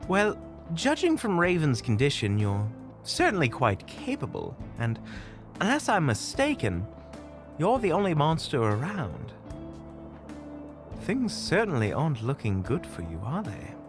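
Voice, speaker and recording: An actor playing a kind-hearted monster hunter in an audio roleplay, dry, sarcastic and gently scolding. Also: mid-range voice, huge pitch swings, a little slow, over music. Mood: calm, dry. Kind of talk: audio roleplay drama acting.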